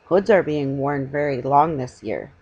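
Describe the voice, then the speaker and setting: Fussy storybook salesman acted by a woman, deferential, husky, with swooping intonation. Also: extremely low pitch, animated, measured pace, darkish tone, lo-fi sound, pitched below the performer's own voice, exaggerated voice. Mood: smooth persuasion.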